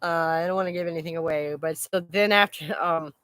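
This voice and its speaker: A woman chatting casually as host of a live stream, rambling in an animated, exclaiming way with casual swearing. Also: low voice, a little fast, clear, resonant tone, dry recording.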